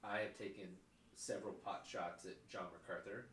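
A man's voice: low voice, fairly even intonation, normal speed, a bit bright, echoey room, a little hiss or hum. A man with a cold and a stuffy nose co-hosting a casual podcast, wry and joking. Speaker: podcast co-host. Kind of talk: casual chat.

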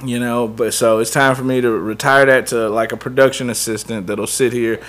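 A man's voice: medium pitch, fairly even intonation, normal speed, fairly bright tone. A man co-hosting a casual podcast, laid-back and laughing often as he talks through plans. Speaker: podcast co-host. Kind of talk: casual banter.

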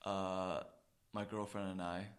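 A man co-hosting a casual podcast, telling stories in an excitable, slangy way and often repeating himself. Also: deep voice, slow. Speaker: podcast co-host. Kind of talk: casual chat, animated storytelling.

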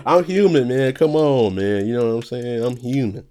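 A man's voice: medium pitch, lively intonation, moderate pace, mellow. A young man talking in a loose, rambling, profane monologue, a little nasal, laughing often. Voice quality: slightly nasal. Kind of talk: casual monologue.

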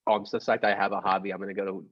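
A man's voice: lowish voice, level tone, very fast, slightly rough. A man with a bemused, slangy manner, joking in a casual group conversation. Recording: slightly dull, lo-fi audio.

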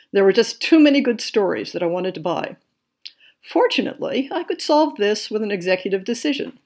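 A woman hosting a podcast, reading a scripted monthly update in a measured, enthusiastic way. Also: medium pitch, creaky, a little mellow. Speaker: podcast host.

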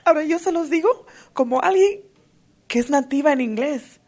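A woman giving an emotional, earnest personal testimony at a recovery meeting, halting often to restart her sentences. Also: high voice, animated, darkish tone. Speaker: twelve-step fellowship member sharing.

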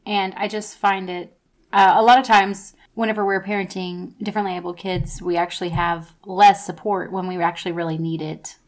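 A woman in her thirties hosting a podcast, talking earnestly and thinking aloud with fillers. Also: on the low side. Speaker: podcast host. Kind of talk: conversational podcast talk.

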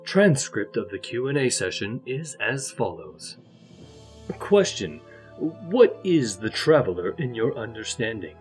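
Animated male narrator, formal scripted reading. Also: medium pitch, unhurried, faint music behind. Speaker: narrator and reader.

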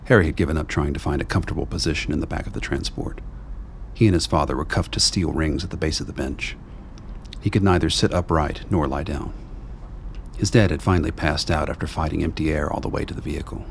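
A man delivers scripted dramatic narration in an extremely low, gravelly voice. The storytelling is measured and ominous, with faint ambience underneath. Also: a little hiss or hum. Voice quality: deep, gravelly.

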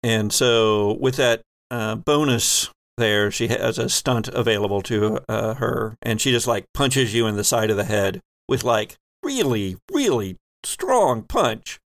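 A man's voice: lowish voice, expressive, unhurried, few pauses, no echo. Man as conversational game master, dry close sound. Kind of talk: improvised tabletop game narration and rules talk.

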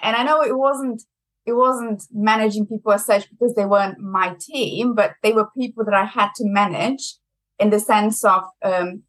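A woman's voice: medium pitch, low-quality recording. A young adult woman from Brazil with a German accent, talking as a guest in a conversational interview and laughing often. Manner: laughs often, chatty and lively. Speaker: marketing executive guest.

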